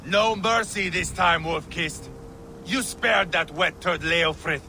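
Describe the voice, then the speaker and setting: A man playing a fierce, vengeful Viking warlord with a gravelly voice and an intense manner, in a video game. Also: on the high side, slow, slight background noise, heavily acted. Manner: intense, swinging from hushed to roaring. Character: fierce, vengeful male Viking warlord, hot-tempered and grieving.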